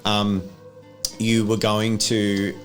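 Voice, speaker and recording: Low-pitched man in animated casual chat. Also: drawn-out words, soft background music. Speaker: game master and show host. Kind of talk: casual post-game chat.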